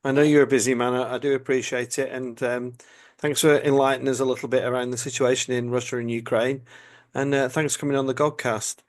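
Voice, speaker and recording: A British man hosts a podcast and asks his guest questions. He stammers and circles around his point with plenty of fillers, at a middling pitch. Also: level tone, fast. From United Kingdom. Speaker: podcast host. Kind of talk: interview questions.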